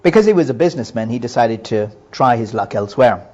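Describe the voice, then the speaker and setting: A middle-aged man calmly telling his personal faith testimony in an earnest, measured way. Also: medium pitch, dull audio. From Hong Kong and Japan. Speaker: businessman giving testimony.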